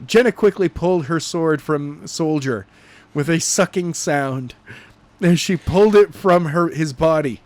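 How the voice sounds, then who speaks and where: A man with a slightly high voice reads a novel aloud as its narrator. His delivery is animated and homemade rather than polished. He stumbles over phrases and corrects himself as he goes. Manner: halting, stumbling read with self-corrections. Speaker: narrator reading a novel chapter. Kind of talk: animated amateur audiobook narration.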